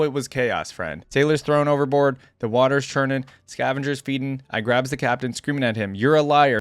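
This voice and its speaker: A weathered old harpooner played by a male narrator tells his tale over a drink in a storybook reading. The voice is fairly low and dry, with chatty, rustic pirate-like turns of phrase. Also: no room sound, lightly acted. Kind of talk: dramatic reading of a first-person sea tale.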